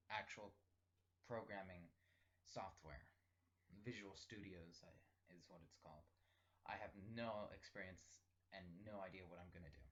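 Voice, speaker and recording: A teenage male recording a casual, rambling spoken diary, thinking aloud in halting short phrases. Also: low voice, fairly bright tone, muffled recording. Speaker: personal audio log host.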